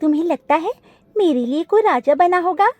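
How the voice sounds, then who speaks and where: A woman's voice: extremely high pitch, expressive, moderate pace, dark tone, no echo, faint music behind, voice pushed up. A woman voicing a proud, protective butterfly queen in a cartoon story, speaking emphatically. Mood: vain, wistful.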